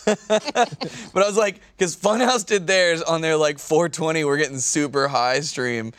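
A man's voice: fairly high pitch, measured pace, a bit bright, clean tone, no echo, clean studio sound. A man chatting casually and jokingly as a podcast co-host, informal and sweary.

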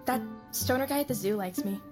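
Lady doing flippant teen witch girl, highish voice, horror audio drama, music loud behind. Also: brisk, lightly acted. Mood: offhand.

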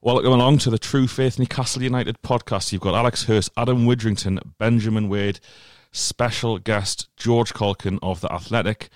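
A man's voice: lowish voice, fairly even intonation, moderate pace, hardly any pauses, no echo, clean studio sound. A man hosting a football podcast in a jokey, casual way. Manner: jokey, plugging and introducing guests. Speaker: podcast host.

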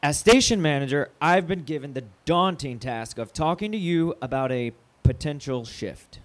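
An actor playing a placating, foul-mouthed radio station manager who talks in halting, cajoling corporate speak in an audio drama. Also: male voice, a little high, expressive, lightly acted. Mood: awkward, placating. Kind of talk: naturalistic audio drama acting.

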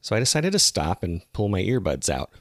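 A man narrates as a podcast host, working from a script. His voice sits low, with plenty of gravelly fry at the bottom. The delivery is that of a storyteller reading prepared copy. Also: sing-song, a little fast, no echo, clean studio sound.

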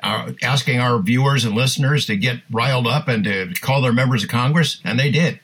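A man's voice: medium pitch, fairly quick, fairly bright tone, breathy edge, rough recording. A man co-hosting a podcast in an easygoing, conversational, casual style. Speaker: podcast co-host. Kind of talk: casual chat.

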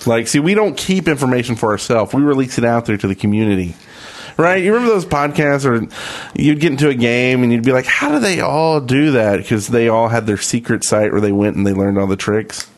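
A man's voice: medium pitch, lively intonation, a little fast. A man co-hosting a podcast in a casual style, chatting and reading out listener emails. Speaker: podcast co-host.

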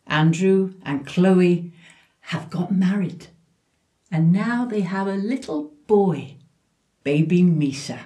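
A narrator setting the scene for an audio play in a measured, atmospheric storytelling manner. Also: medium pitch, mellow.

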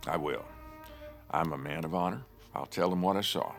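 An actor playing a proud, pushy cowboy senator in an audio drama, pompous, formal and theatrical. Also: male voice, low-pitched, moderate pace, vocal fry, fairly bright tone. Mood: flustered, chastened. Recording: music under the voice.

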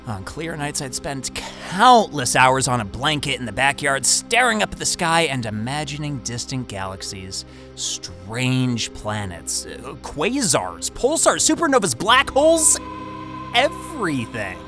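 An actor playing a cocky, talkative young job candidate who stammers and rambles in a naturalistic audio drama. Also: male voice, medium pitch, very animated, a little slow, bright, forceful tone, faint music behind. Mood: wistful, awed. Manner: stammering, rambling, self-interrupting.

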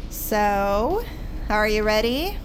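A woman acting a snarky, excitable teenage fangirl in a scripted comedy-drama. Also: mid-range voice, extremely slow, poor audio. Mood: excited. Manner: snarky, mocking. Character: sarcastic, excitable teenage fangirl, a high school senior. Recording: music under the dialogue.

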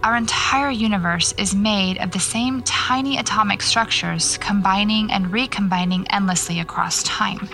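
A woman's voice: mid-range voice, normal speed, creaky, few pauses, fairly bright tone, faint music behind. An actress playing a dry, sardonic, preoccupied woman novelist in an audio drama. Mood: intent. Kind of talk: naturalistic audio drama dialogue.

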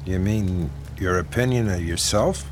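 Wry, crooked police lieutenant. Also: male voice, deep voice, measured pace, slight background noise. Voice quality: dry, sardonic humor. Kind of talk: radio drama acting. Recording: radio drama with music behind.

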